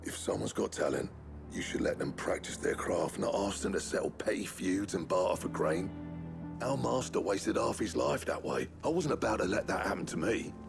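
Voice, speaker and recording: Game role: stubborn master smith, working-class British, gravelly and gruff, lively low voice over loud music. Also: male voice, moderate pace. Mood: gruff, defiant. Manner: gruff, blunt, defensive. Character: proud, blunt, stubborn male master blacksmith. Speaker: voice actor in a game cutscene. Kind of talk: dramatic game acting.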